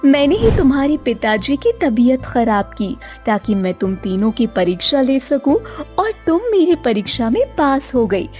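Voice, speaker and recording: Woman doing a kindly fairy tale goddess, solemn, high voice, bit of music under. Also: very animated, moderate pace, a little mellow. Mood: solemn, benevolent. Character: solemn, benevolent goddess testing a girl, granting magic. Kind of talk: voice acting in a Hindi fairy tale. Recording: music behind the voice.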